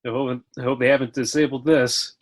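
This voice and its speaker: A man's voice: mid-range voice, flat delivery, fairly quick, close to an everyday voice. A man playing a resolute action hero under pressure in an amateur screenplay table read. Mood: determined.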